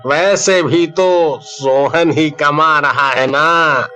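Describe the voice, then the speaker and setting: A man's voice: fairly high pitch, steady tone, pushed and bright, clear, resonant tone, soft background music, full character voice. A man playing a lazy, easily swayed middle-aged husband in a story cartoon, drawling his words out. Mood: hesitant, resigned. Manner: slow, drawn-out, drawling delivery. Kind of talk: dramatised Hindi story cartoon acting.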